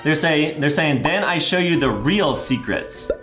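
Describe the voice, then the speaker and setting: Young dude, gaming host, swoopy over the top delivery, repeats himself, music playing. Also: male voice, on the high side, unhurried, reverberant. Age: 20s. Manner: exaggerated, animated, repeats phrases. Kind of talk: excited gameplay commentary and skit for viewers.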